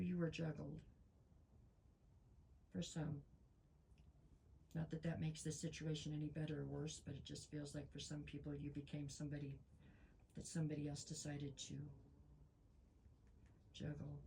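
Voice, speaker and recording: A woman's voice: deep voice, level tone, unhurried, creaky, pauses often, mellow, a little hiss or hum, rough recording. A woman reading tarot cards in a chatty way, losing her voice and with a stuffy nose. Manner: chatty, frequent ums, talks straight to viewers. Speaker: tarot reader.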